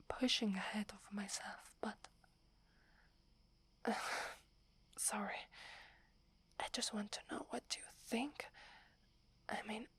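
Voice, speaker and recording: A young female werewolf warrior, acted by a woman in an ASMR roleplay, whispers with an Italian accent. Her delivery is very breathy and slow, staying within a narrow pitch range. She is loyal and hesitant, speaking deferentially to her alpha. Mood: hesitant, anxious.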